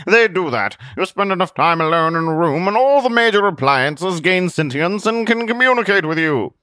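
A withered, paranoid young shut-in, played by a male narrator in an audiobook. His voice is pitched high and strained, leaping about as he shouts accusations. The recording is close and dry. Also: expressive, moderate pace, clean tone, no room sound. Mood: excited.

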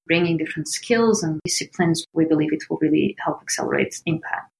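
A woman from Portugal with a Portuguese accent, answering interview questions in an earnest, measured way. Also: low-pitched, creaky, poor audio. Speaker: founder of a homelessness research organization.

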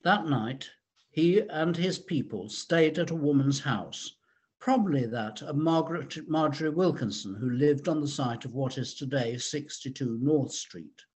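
A man reading a scripted history talk in a measured, explanatory way. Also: on the high side, darkish tone, breathy edge. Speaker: researcher and reader of a local history talk.

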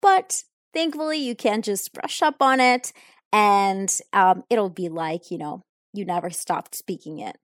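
A young woman speaks English slowly and deliberately, taking her time over each answer. She sounds thoughtful and a little hesitant, filling gaps with soft ums. Her voice is close and dry, with no room sound around it. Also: medium pitch, no room sound. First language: Italian. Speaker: English learner answering questions. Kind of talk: unscripted answers in an English lesson.

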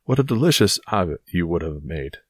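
A man's voice: low-pitched, big pitch swings, brisk, no echo, studio quality. A man voicing a boastful, self-satisfied musketeer in an audiobook adventure story, exclaiming theatrically. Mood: delighted, mocking. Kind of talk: dramatised audiobook reading of a classic adventure novel.

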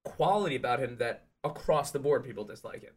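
A man's voice: medium pitch, lively intonation, fairly quick, husky. A young man co-hosting a casual podcast in an everyday mid-range voice. He teases his friends and laughs readily. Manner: teasing, laughs often. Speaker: podcast co-host. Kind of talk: casual chat.

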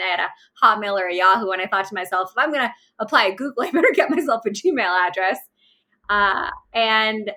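A Pakistan-born woman in her thirties answers interview questions about her working life. Her voice is bright and forward, her delivery candid and chatty, dotted with small fillers. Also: medium pitch. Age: 30s. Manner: warm, candid, with light laughs and fillers. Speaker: interview guest, manager trainer. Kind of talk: conversational career storytelling.

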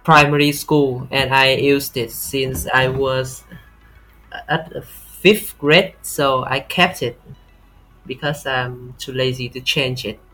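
A Vietnamese-accented man speaks as a podcast guest in a halting, unhurried way. His voice is bright and sharp, with a little music in the background. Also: mid-range voice, steady tone, low-quality recording. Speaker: podcast guest, software tester. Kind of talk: casual interview talk.

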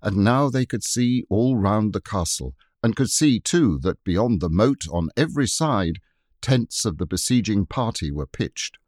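A man narrating a classic children's book, expressive and wryly amused, read into a close studio mic. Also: fairly low pitch, measured pace, no echo. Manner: expressive, wry. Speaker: audiobook narrator. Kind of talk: storybook narration.

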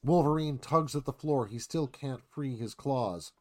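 A man's voice: medium pitch, unhurried. A man narrates an action screenplay read live as radio theatre. His voice sits in a middle register as he reads out stage directions.

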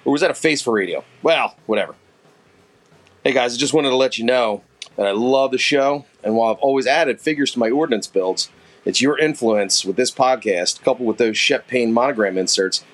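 A middle-aged man with a Philadelphia accent, leaving a folksy, self-deprecating voice message for a podcast. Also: medium pitch. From Philadelphia area. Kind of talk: recorded voice message.

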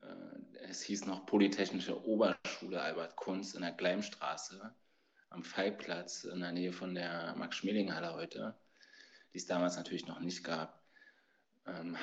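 A man's voice: deep voice, gravelly, lo-fi sound. A man in his forties from Berlin, a youth basketball coach telling stories as a podcast guest, a bit tired and hesitant. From Berlin, Germany. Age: 40s. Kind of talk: casual storytelling.

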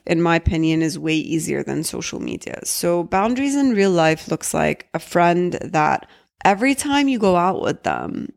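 A young woman talks through her solo podcast in a low voice. Her tone is chatty and candid, and she slips Arabic phrases into her English. Her voice carries a slight early-morning roughness. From Egypt. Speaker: podcast host.

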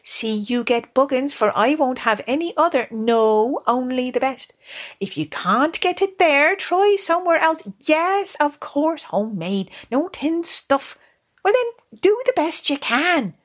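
Woman playing a hearty, masterful water rat, raised pitch. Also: expressive. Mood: brisk, commanding. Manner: brisk, commanding. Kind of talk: storybook character voice.

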